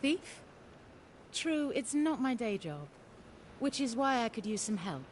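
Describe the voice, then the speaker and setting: An actress voicing a poised, cunning noblewoman in a video game, genteel, measured and persuasive. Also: fairly high pitch, sing-song, a little hiss or hum. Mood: sly, coaxing. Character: poised, cunning noblewoman schemer, coaxing and sly. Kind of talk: video game dialogue acting.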